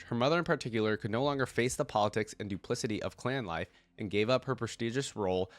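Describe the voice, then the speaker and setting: Male reaction host, lowish stuffed-up voice, conversational fan commentary and read-alouds, recorded dry. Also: no room sound. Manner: informal, conversational asides. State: congested from a cold. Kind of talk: casual fan commentary, reading text aloud.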